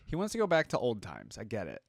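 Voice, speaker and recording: A man's voice: fairly low pitch, huge pitch swings, quick pace, gravelly. A man giving casual, off-the-cuff reaction commentary as a video host, musing and thinking aloud.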